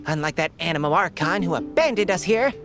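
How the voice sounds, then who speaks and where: Highly animated, genteel talking squirrel. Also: female voice, a little fast, music in the background. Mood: chatty, aggrieved. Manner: quaint genteel phrasing. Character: talking squirrel, chatty, prim, faintly indignant storyteller. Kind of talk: video game character acting.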